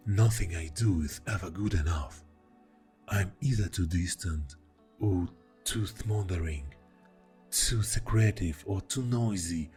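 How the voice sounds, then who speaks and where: A man acts out an exasperated boyfriend in an intimate ASMR roleplay. His voice is very low and soft, and he takes his time, sighing and pausing as he defends himself. Music plays behind him. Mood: frustrated. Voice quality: deep, soft, breathy voice. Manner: slow, pausing, with heavy sighs. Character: exasperated, defensive boyfriend arguing with a jealous partner.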